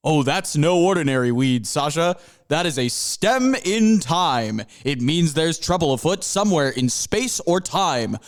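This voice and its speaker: A man's voice: fairly high pitch, expressive, measured pace. A man voicing a theatrical, upbeat panther mascot who leads students through time. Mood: grand.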